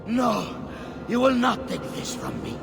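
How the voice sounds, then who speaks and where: A power-hungry old Pope as a game villain. His high-pitched voice is heavy with gravel and swings widely. He is pompous and menacing over clear music. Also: huge pitch swings, unhurried, heavily acted. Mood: gloating, menacing. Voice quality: gravelly, creaky. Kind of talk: theatrical video game villain acting. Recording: loud music behind.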